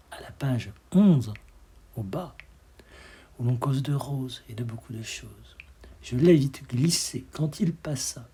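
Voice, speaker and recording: Guy reading poems out loud, slow and dramatic, breathy husky voice. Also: medium pitch. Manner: measured, dramatic phrasing that often drops to a breathy hush. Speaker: poetry reader. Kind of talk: expressive poetry recitation.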